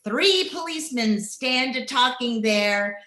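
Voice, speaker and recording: An ancient cackling witch in old radio horror style, drawing out every eerie word very slowly. Her voice is high and piercingly bright, with folksy archaic phrasing, heard in an echoing room. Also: animated, full character voice. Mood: eerie, relishing. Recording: roomy, echoing home recording over video call.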